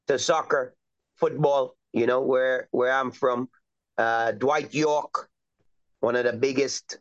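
A middle-aged man from Trinidad and Tobago chats easily as an interview guest. He wanders through his answers with plenty of ums and you-knows. His voice is smooth and resonant, though the recording sounds a little dull and lo-fi. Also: medium pitch. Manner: easygoing, rambling with many fillers. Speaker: soccer coach, guest. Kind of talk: casual interview chat.

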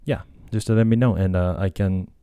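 A Japanese man talks alone in a relaxed, meandering way, stopping and restarting his sentences often. His voice sits very low and sounds soft and mellow. The recording is close and dry, with no room sound. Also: moderate pace, clear, resonant tone, no room sound. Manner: rambling, hesitant, many fillers and restarts. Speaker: podcast host and language tutor. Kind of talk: casual solo talk.

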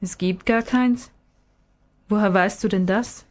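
Storybook father read by a woman, patient, gently probing, explaining things step by step. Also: mid-range voice, measured pace, natural voice. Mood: questioning.

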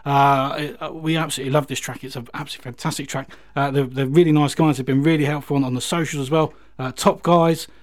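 A male radio host talks at a quick clip in a casual, chatty style. He is enthusiastic about music, stumbling and repeating himself as he goes. His voice sits at an ordinary middle pitch. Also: steady tone. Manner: enthusiastic, hesitant, repetitive phrasing.

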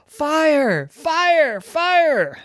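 A woman voicing an earnest, plucky young male duck hero in a children's story, excitable and insistent. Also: very deep voice, slow, dry recording, studio quality, full character voice. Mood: alarmed.

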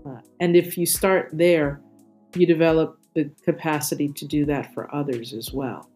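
A middle-aged American woman from New York City, talking reflectively as an interview guest in measured, articulate and deliberate phrases. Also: deep voice, steady tone, a little mellow, soft background music. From New York City, United States. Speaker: interview guest, corporate diversity executive. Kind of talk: reflective personal talk in an interview.

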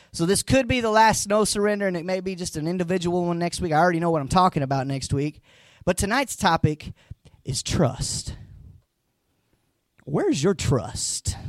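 A hoarse man preaches to his congregation in a high, lively voice that swings widely in pitch. He mixes folksy asides with emphatic, near-shouted lines. The sound is dry and close. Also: dry recording. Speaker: pastor. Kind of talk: live sermon.

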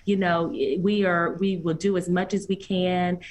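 A woman executive, a guest in an interview, speaking earnestly and encouragingly, often pausing with fillers. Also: lowish voice, flat delivery, a little hiss or hum. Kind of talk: conversational interview.

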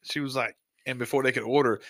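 Guy hosting a chat show, tells stories, laughs a lot, bright voice. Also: medium pitch, fast, no echo. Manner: storytelling, laughs often, lively. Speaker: host. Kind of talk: casual chat.

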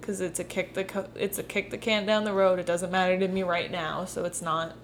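A woman's voice: lowish voice, level tone, a little fast, slight background noise. A woman chatting casually about politics in a conversational, agreeable way. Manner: conversational, agreeable, many fillers.

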